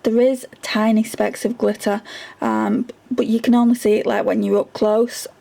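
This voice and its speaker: Woman, beauty vlogger, gravelly and fading hoarse, chatty with lots of ums. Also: on the high side, little pitch movement, moderate pace, dark tone. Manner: chatty, frequent ums, repeats words for emphasis. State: voice going hoarse. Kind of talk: casual product review.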